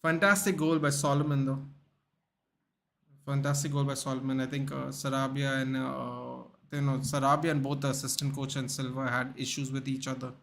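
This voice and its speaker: A man's voice: mid-range voice, flat delivery, moderate pace, gravelly, a bit bright, slight background noise. Chatty young Indian man on a livestream. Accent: Indian English. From Goa, India. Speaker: livestream host. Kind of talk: casual livestream chat reading out viewer comments.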